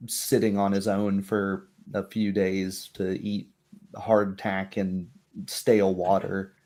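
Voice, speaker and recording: Brief: man, tabletop game master, slightly low pitch, unhurried descriptive narration with hesitations. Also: dark tone.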